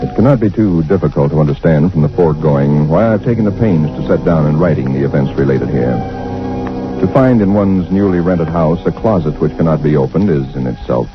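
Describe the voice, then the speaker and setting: An actor playing a young husband in a haunted new house, in 1940s radio acting style, also narrating in first person. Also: male voice, very deep voice, lively intonation, brisk. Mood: grave narration. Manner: reassuring, then tense narration. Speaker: narrator and lead character. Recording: dull old radio broadcast with music.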